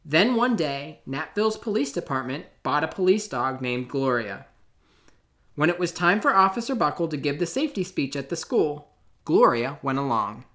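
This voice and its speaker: A man narrating a children's storybook aloud in an expressive, clearly phrased way. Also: on the high side, normal speed. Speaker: storybook reader.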